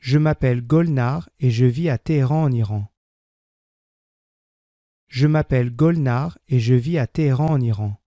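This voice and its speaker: A man reading a first-person French text slowly and clearly for learners, repeating each sentence. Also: mid-range voice, warm tone, dry recording, studio quality. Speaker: French language teacher. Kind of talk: slow scripted reading for learners.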